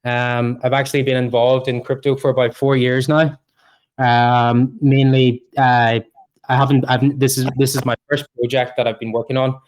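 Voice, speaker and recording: A man's voice: medium pitch, level tone, moderate pace, rough recording. A man from Ireland with an Irish accent, a project co-founder speaking as a guest, hesitant with many ums and restarts. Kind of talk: casual interview talk.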